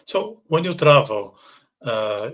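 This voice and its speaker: A Portuguese-accented man hosting a casual podcast chat. His voice sits at an ordinary middle pitch, and his questions come out loose and relaxed, full of small fillers. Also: slow. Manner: relaxed, asks questions with fillers like "like" and "you know". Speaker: podcast host.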